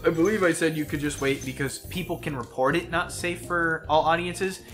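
A man's voice: a little high, a little fast, fairly bright tone. A young man co-hosting a let's play, chatting casually as he follows the game. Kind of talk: casual gaming chat. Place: indoors, voice-over on game footage. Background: faint game music.

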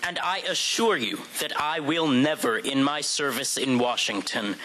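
A man's voice: mid-range voice, moderate pace, bright, forceful tone, rough recording. A young man, a student actor, delivering a dramatic reading of political victory speeches in a declamatory public-speaking manner. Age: young adult. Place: indoor room before an audience. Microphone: podium microphone. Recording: live event, faint room noise.

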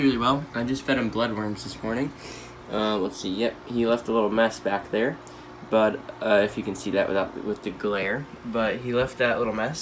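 A man's voice: mid-range voice, slight background noise, poor audio. A teenage boy with a stuffy nose, rambling casually with lots of fillers while he films his pet tanks at home on a handheld phone. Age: teens. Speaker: pet channel host. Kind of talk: casual pet care vlog. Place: home room full of tanks. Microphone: handheld phone filming tanks.

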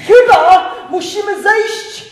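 A woman playing an eager, bumbling male pirate crew member in improvised stage storytelling, hesitant and excitable. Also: very high voice, slow. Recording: very echoey room, loud music. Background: loud instrumental music.

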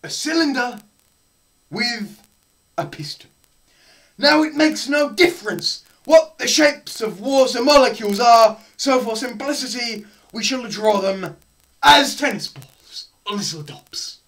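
A young man giving a dramatised lecture read aloud, theatrical and emphatic, with deliberate pauses, in a small room. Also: very high voice, lively intonation, a bit bright, rough recording. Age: teens to young adult. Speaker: presenter. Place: small bare room indoors. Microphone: camera a short distance away.

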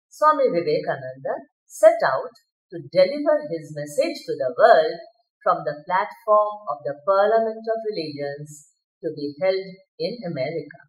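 A middle-aged woman with an Indian accent telling a story to children with deliberate, expressive enunciation. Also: lowish voice. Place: indoor room with bookshelves. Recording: echoey room.

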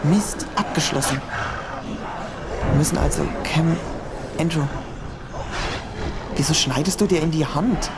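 A woman's voice: deep voice, unhurried, pauses often. A woman voicing a tense, defiant young man. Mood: urgent. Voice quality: tense, urgent. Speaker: hero. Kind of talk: amateur audio drama acting. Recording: noisy background hiss.

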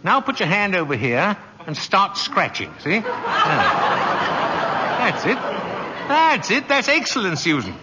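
A man's voice: sits high, sing-song, measured pace, long pauses. An actor playing a kindly, playful old man who claims to be Santa, with an avuncular, exclamatory manner, in 1940s radio drama style. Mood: gleeful, coaching. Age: older. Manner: avuncular, exclamatory, with frequent "eh" and "see". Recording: muffled, noisy 1940s radio recording, reverberant.

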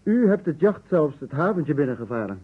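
Businesslike male questioner, polite, direct, radio drama, lively intonation, raspy, telephone-narrow vintage sound. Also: on the high side, brisk. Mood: stern. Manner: formal, direct. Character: formal, businesslike male interrogator, polite but sceptical. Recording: muffled, narrow-band old radio recording.

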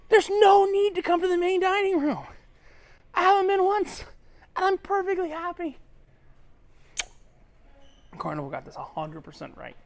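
Tipsy male cruise vlogger, fairly high-pitched, blunt and profane, walking and talking to a handheld camera. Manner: blunt, swearing, ranting, drops to a mutter at times. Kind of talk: casual walk-and-talk vlog. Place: aboard a cruise ship, indoors.

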